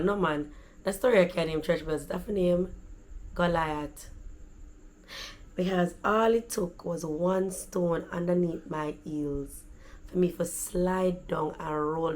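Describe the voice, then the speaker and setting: Raspy Jamaican woman telling stories. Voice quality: deep raspy voice. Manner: animated, chatty, slips into patois. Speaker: vlogger. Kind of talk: casual storytelling.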